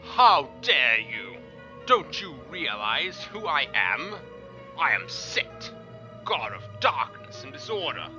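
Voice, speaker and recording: Arrogant god of darkness played by a man, very slow and menacing, forceful edge, music behind. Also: mid-range voice, big pitch swings, lo-fi sound. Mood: haughty, threatening. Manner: slow, scoffing, threatening. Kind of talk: dramatic audio story reading.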